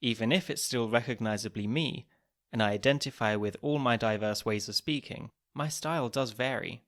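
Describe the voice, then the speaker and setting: A man hosts a podcast, reading scripted narration in a calm, measured, explanatory way. His voice sits at an ordinary middle pitch for a man. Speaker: podcast host.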